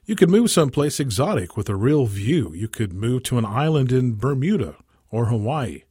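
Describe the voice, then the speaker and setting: A man's voice: mid-range voice, very animated, moderate pace, a little mellow, breathy edge, dry recording, clean studio sound. A man voicing a farmer's grown daughter in a short story, opinionated and emphatically explaining. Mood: suggesting.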